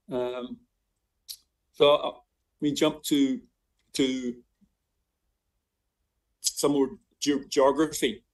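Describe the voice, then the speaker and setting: An older Scottish man delivers an informal academic talk in an online seminar. He speaks haltingly, restarting phrases and doubling back on words, in a mid-pitched voice. The microphone sounds some distance from him. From Scotland. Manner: halting, with frequent self-interruptions and repeated words. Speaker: visiting professor giving a seminar. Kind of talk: informal academic lecture with slides.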